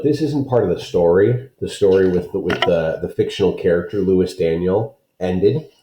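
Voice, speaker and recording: A man reads aloud to children in a low, mellow voice. His delivery is plain and explanatory, and the room gives it a noticeable echo. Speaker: read-aloud storyteller. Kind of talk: children's story and author's note read aloud, explanatory asides. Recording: roomy, echoing sound.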